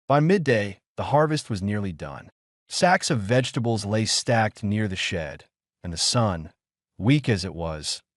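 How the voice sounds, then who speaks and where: A man narrating a scripted science fiction story in measured, short phrases with dramatic pauses. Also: on the low side, no room sound, clean studio sound. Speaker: audiobook narrator.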